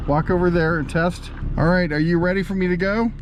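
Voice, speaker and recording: A fairly high-voiced American man narrates casually as a vlog host. He sounds middle-aged, and there is clear vehicle and outdoor noise around his voice. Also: steady tone, fairly quick. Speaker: host testing two-way radios. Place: outdoors and in a truck cab. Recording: noisy vehicle and outdoor ambience.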